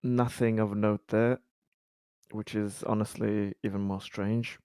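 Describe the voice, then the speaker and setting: A man's voice: fairly low pitch, little pitch movement, slow, dark tone, smooth, dry recording. A man playing a tabletop role-playing game, talking casually with a dry, wry manner.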